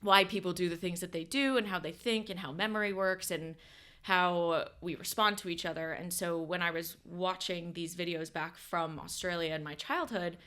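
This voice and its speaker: A woman's voice: fairly low pitch, fairly even intonation, moderate pace, a bit bright. A young woman from the United States, a podcast guest in casual chat who rambles with many fillers and corrects herself.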